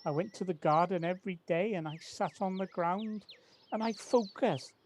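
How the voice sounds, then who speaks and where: A woman in an amateur audio drama playing a devoted, wistful male gardener, speaking in an earnest, halting, reflective way. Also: fairly low pitch, darkish tone, lightly acted. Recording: noisy home personal-device recording with clicks.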